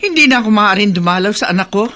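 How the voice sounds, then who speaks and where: Worried older mother, very expressive. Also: mid-range voice, fast talker, soft background music. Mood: indignant. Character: worried older mother pleading for her captive daughter. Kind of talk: radio drama acting.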